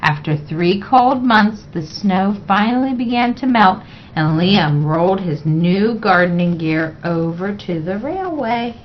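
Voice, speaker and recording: A woman reading a children's picture book aloud in a measured, expressive way. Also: mid-range voice, a little hiss or hum. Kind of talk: read-aloud children's story narration. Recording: dull, lo-fi sound.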